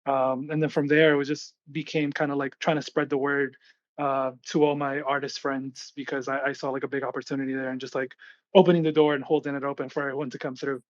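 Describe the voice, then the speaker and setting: A man's voice: medium pitch, flat delivery, fast, dry recording, highs cut off. A man from Venezuela giving casual, informal interview answers as a guest, explaining things with frequent filler words. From born and raised in Venezuela, grew up in United States. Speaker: guest, artist collective founder.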